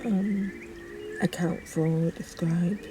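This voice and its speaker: A woman speaking reflectively and unscripted in a documentary, thoughtful and halting, with frequent pauses. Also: on the low side, fairly even intonation, noisy background. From northern England. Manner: halting, pausing often, thoughtful. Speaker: poet.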